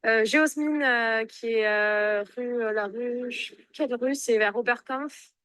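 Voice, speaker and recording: A woman stopped on the street, answering hesitantly with many pauses. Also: on the high side, fairly bright tone, smooth, no echo. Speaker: passerby interviewee. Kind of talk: casual street interview answers.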